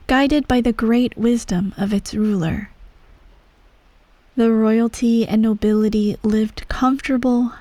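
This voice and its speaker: A woman playing an imperious, self-righteous empress who dotes on her child, speaking softly and formally in a bedtime ASMR roleplay. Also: mid-range voice, dry recording. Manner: soft, measured, formal, gently condescending. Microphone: close, dry microphone.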